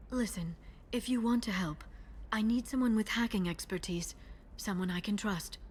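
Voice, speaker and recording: A woman playing a guarded, earnest alien information broker in a video game, speaking in a measured way. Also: mid-range voice, slight background noise, close to an everyday voice. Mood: guarded, regretful. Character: guarded alien female information broker, earnest, quietly menacing when crossed. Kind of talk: video game dialogue acting.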